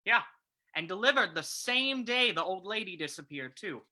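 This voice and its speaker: A woman playing a gruff, sarcastic male police commissioner with a clipped, hard-boiled manner in an old-time radio play reading. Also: mid-range voice, lively intonation, moderate pace, sharp tone. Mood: gruff, accusing.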